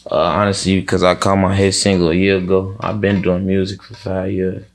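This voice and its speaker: A young man with a Chicago accent speaks in a deep register with a creaky fry. He talks casually as an interview guest, circling through his points in slangy, sweary phrases. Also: little pitch movement, fairly quick, faint music behind. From Chicago, USA. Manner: rambling, heavy slang and swearing. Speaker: rapper being interviewed.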